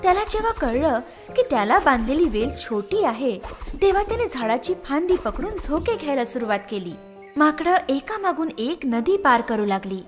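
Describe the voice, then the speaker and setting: A woman's voice: high voice, sing-song, moderate pace, soft background music. A woman narrating a scripted moral story for children.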